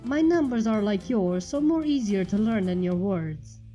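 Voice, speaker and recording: Lady doing a polite lil pony girl, halting broken speech, soft tone, story reading w faint music. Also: medium pitch, animated, close to an everyday voice. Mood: earnest, polite. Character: young foreign filly pony, clever, halting speech.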